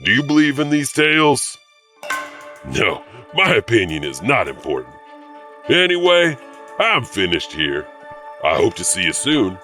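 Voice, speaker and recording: An actor playing an eerie, teasing horror anthology host, narrating in a sly, theatrical, ominous way. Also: male voice, medium pitch, big pitch swings, a little slow, long pauses, sharp tone, soft background music. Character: eerie, teasing male horror-tale host who chuckles. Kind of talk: theatrical spooky scripted narration.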